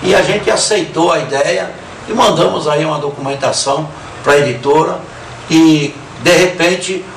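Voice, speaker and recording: A man's voice: fairly high pitch, normal speed, gravelly, slight background noise. A man from São Paulo, proudly speaking about his vocal group in a documentary interview and leaning on "aí" as a filler. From São Paulo, Brazil. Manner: proud, steady, leans on filler "aí". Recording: echoey room, mic from a distance.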